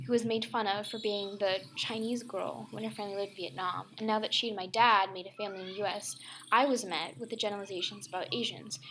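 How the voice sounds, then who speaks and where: A young woman reading a personal essay as voice-over, soft and reflective, often close to a whisper. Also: mid-range voice, slight background noise. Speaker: student filmmaker narrating.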